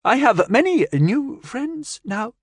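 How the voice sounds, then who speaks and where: A man voicing a young female alien creature who speaks in slow, broken, formal phrases. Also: very high voice, big pitch swings, breathy edge, no echo, studio quality, pitched above the performer's own voice, full character voice. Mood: earnest.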